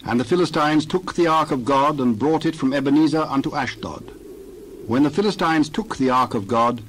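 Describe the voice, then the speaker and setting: A man's voice: fairly high pitch, a little hiss or hum, poor audio. Man reading scripture steadily. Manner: measured, steady narration. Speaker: scripture reader.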